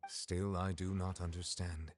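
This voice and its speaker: An actor playing a solemn, reserved chief judge in a video game, speaking in measured, formal diction. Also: male voice, sits low, level tone. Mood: grave, troubled. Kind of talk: English video game voice acting.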